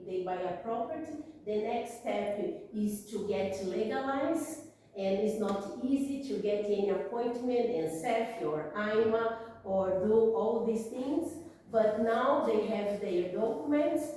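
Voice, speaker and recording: Slow-speaking Brazilian woman, echoey room. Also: mid-range voice, warm tone, recorded from a distance, slight background noise. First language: Portuguese. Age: 40s. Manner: halting, searching for words, apologetic. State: has a cold. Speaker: guest speaker on immigration. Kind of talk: business talk to a live audience. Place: meeting room with an audience.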